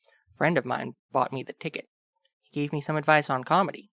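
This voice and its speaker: A man's voice: fairly high pitch, fairly even intonation, fairly quick, vocal fry, a bit bright, dry recording. A man playing a cocky, flirtatious captive filmmaker who jokes wryly in an audio fiction drama. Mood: evasive, wry. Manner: wry, mock-dramatic. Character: cocky, flirtatious captive male filmmaker, joking under interrogation.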